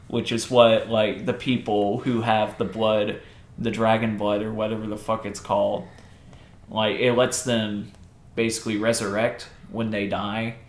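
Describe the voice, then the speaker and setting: A podcast co-host chatting casually and swearing freely, acting out quoted lines while retelling stories. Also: medium pitch. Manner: sweary, animated quoting of characters. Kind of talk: casual chat, retelling a video game plot. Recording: echoey room.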